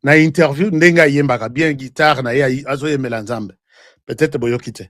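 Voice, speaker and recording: A man's voice: mid-range voice, moderate pace, a bit bright. A man with a Congolese French accent hosting a live online show, talking in heated, rambling political commentary and switching between languages.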